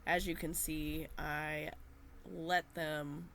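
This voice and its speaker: A woman talks through her gardening in a gentle, slow and easygoing manner, often pausing on little fillers. Her voice sits low and sounds a touch nasal, as if she is fighting a cold. Faint noise hums under the recording. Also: little pitch movement. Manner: gentle, unhurried, with frequent fillers. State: slightly nasal from a cold or allergies. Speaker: gardening vlogger. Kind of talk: voice-over narration of gardening.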